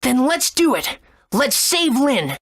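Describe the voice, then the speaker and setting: A woman's voice: high-pitched, very animated, slow, heavily acted. A woman voicing an earnest, eager young boy hero in a video game. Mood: determined. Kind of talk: video game character acting and narration.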